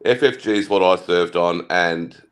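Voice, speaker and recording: A navy veteran banters on a casual call, blunt and crude, teasing his mates mercilessly. His voice is low and deep. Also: normal speed.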